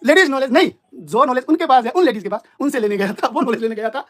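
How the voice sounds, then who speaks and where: A man's voice: very high voice, fast talker, a bit bright. A man in an informal live-stream argument, explaining himself in an emphatic, repetitive, combative way.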